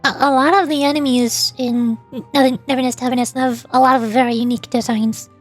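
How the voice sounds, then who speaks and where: A woman's voice: high-pitched, level tone, brisk, soft background music. A woman streamer chatting casually and ramblingly about games, often repeating words as she goes. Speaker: streamer and VTuber.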